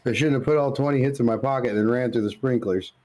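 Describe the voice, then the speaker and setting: A man's voice: medium pitch, fast talker, a little mellow. A man co-hosting a podcast, telling comic stories in casual, slangy, sweary talk. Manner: casual, profane, dude-heavy slangy talk. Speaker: podcast co-host. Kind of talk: casual chat, comic storytelling.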